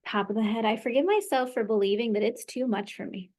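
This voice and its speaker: A woman's voice: a little high, fast, a little mellow. A woman practitioner guiding a client through a tapping session, encouraging her and leading phrases for her to repeat.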